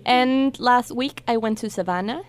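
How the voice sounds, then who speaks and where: A young Chilean woman with a Chilean Spanish accent talks through an interview in careful English, her first language being Spanish. Her voice sits a little on the high side. She answers in short pieces and laughs softly between them. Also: normal speed. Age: 20s. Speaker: guest. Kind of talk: casual interview.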